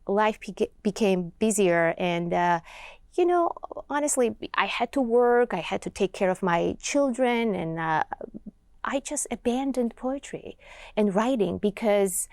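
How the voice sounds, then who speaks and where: A woman with a Georgian accent, a poet and writer being interviewed in a TV studio, speaking in a reflective, earnest, unhurried way. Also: mid-range voice, darkish tone, dry recording.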